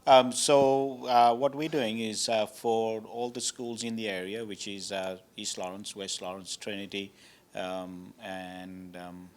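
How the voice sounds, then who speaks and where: A man's voice: lowish voice, level tone, a little slow. A man born in Zambia, a hotel owner, answering interview questions politely with many hesitations.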